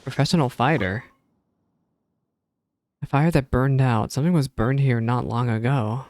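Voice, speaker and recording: A woman's voice: rumbling low, normal speed, a little mellow. A woman streamer giving casual reactions to a video game and reading on-screen text aloud.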